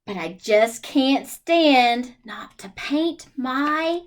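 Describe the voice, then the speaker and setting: A woman with a high voice leads storytime as a children's librarian. Her delivery swings widely in pitch and takes its time, with a singsong lilt and questions posed to young listeners. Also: animated, very slow. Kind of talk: children's storytime read-aloud and chat.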